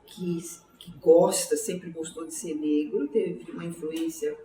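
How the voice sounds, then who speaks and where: An older woman in her sixties speaks with a São Paulo Brazilian accent in a lowish, mellow voice. She reflects on her life as an interviewee, haltingly, repeating words as she goes. The room echoes clearly around her. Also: fairly even intonation. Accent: São Paulo Brazilian Portuguese. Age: 60s. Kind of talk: oral history interview, autobiographical recollection. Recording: roomy, distant mic, faint background noise.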